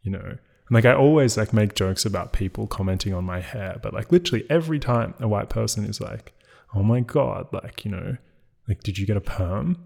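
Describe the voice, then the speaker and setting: A man hosting a podcast, talking in a relaxed casual way, full of filler words and trailing asides. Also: fairly low pitch, a little fast, creaky. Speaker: podcast host. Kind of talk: casual conversation.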